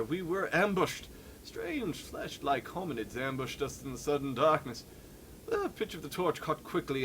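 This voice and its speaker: A man's voice: fairly high pitch, a bit bright, a little hiss or hum, poor audio. A man voicing a fussy, troubled adventurer who is turning into a sea creature, reading a game journal theatrically. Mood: uneasy, fastidious. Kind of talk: dramatic read-along of game journal.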